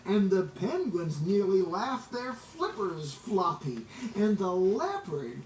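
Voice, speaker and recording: A man reading a children's story aloud in an expressive, dramatic way, drawing out words for emphasis. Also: sits high. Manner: animated, dramatic, drawn-out emphasis. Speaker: storybook reader. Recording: roomy, distant, noisy amateur recording.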